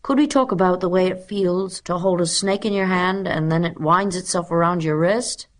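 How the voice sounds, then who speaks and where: Lady reader doing an excitable little school kid, eager and pleading, high voice, storybook style. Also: normal speed, lightly acted. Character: eager, excitable young schoolchildren, boys and girls. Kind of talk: children's storybook character voices.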